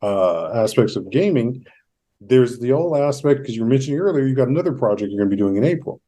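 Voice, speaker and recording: A man hosting a casual interview, talking in a halting, discursive way. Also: mid-range voice, animated, dark tone. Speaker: podcast host.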